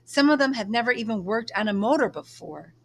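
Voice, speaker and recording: A woman reading a children's book aloud, playing a frustrated young male labourer in a sharp, emphatic way. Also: a little high, animated, brisk. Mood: bitter.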